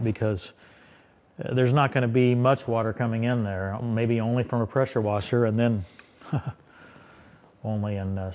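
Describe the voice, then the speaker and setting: A man's voice: medium pitch, darkish tone. A middle-aged man hosting a tractor repair video, explaining a fix to the camera in a casual, thinking-aloud way with frequent restarts, recorded close up in a workshop. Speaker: tractor repair channel host. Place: workshop beside a tractor, indoors.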